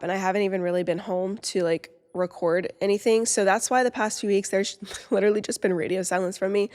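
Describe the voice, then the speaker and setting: A woman's voice: mid-range voice, big-room sound. A woman in her twenties hosting her own podcast in casual, upbeat chat, nasal and congested with a slightly sore throat. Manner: upbeat, chatty, many "like" fillers. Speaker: podcast host.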